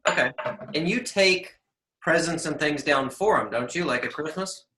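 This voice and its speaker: A man hosting a podcast, easygoing and quick to laugh, moving from a scripted intro to a casual interview. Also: a little high, rough recording. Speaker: podcast host. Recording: loud music behind the voice.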